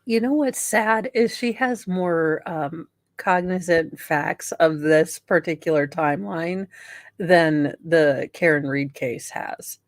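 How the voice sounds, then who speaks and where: A woman's voice: low voice, takes it slowly, no echo. A woman co-hosting in casual, conversational chat. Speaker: co-host.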